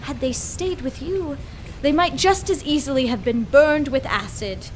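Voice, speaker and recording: Actress doing a snooty mad scientist lady, highish voice, grand and condescending, radio comedy, some background noise. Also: measured pace. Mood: haughty, irritated. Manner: haughty, verbose, theatrical. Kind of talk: comedic audio drama acting.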